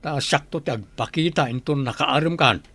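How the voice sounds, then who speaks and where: Gravelly, carefree hard-drinking uncle, Filipino accent. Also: male voice, a little high, normal speed, a bit bright. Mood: stubborn, dismissive. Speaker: radio drama actor. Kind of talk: radio drama acting.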